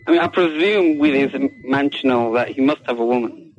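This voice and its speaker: A man's voice: medium pitch, fairly quick. A man calling a radio phone-in, chatting casually with hesitant, inquisitive questions. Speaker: phone-in caller. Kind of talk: casual chat. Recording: dull phone call on radio.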